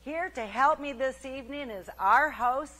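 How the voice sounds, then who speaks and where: An actress playing a folksy, chirpy housewife who hosts a comedy cooking show in old-time radio style. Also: female voice, high voice, normal speed, sharp tone. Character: Molly McKitchen, chatty, thrifty homespun cooking-show housewife.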